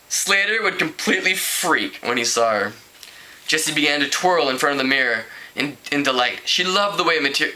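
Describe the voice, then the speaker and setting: Very bright-voiced man reading aloud. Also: a little high, rough recording. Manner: stumbles over words and repeats phrases. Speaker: amateur book reader. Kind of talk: reading a teen novel aloud, casual.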